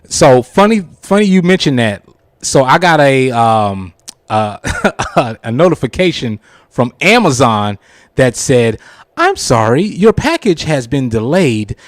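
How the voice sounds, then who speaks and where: A man's voice: medium pitch, very animated, takes it slowly, no echo, clean studio sound. A man hosting a casual live talk radio show, speaking informally with slang and easy chuckles.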